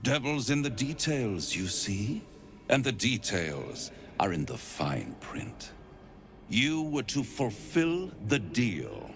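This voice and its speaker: An actor playing a suave, smug, silver-tongued devil in a fantasy game, pompous and florid, savouring each phrase. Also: male voice, mid-range voice, big pitch swings, slow pace, faint music behind. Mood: smug, mocking. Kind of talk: theatrical fantasy game acting, rhyming and grandiose.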